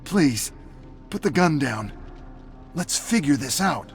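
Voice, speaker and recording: A man's voice: fairly high pitch, sing-song, takes it slowly, faint music behind, pitched above the performer's own voice, exaggerated voice. Man acting an urgent, level-headed peacemaker. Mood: pleading. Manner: urgent, pleading, reasoning. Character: level-headed man calming an armed standoff. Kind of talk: dramatic audiobook character voice.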